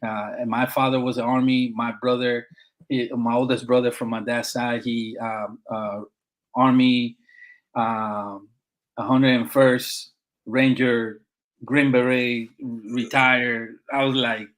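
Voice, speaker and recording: A man's voice: mid-range voice, level tone, slow pace, fairly bright tone, low-quality recording. A middle-aged man with a Puerto Rican accent, a Marine veteran guest speaking earnestly in a conversational interview, with frequent fillers and restarts. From Puerto Rico.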